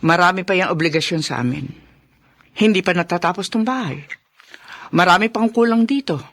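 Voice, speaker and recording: A woman's voice: on the low side, lively intonation, a little fast, fairly bright tone. A woman playing a brusque middle-aged mother in a Filipino radio drama, curt and blunt. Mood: pointed, disapproving. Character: brusque, possessive mother of grown children.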